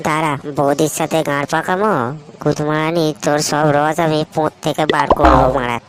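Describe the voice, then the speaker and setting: A sly, jealous teenage schoolboy from a comedy cartoon, played by a woman with a somewhat low voice. He pesters and grumbles. Clear background noise sits under the dialogue. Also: fairly even intonation, a little fast, lightly acted. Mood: resentful.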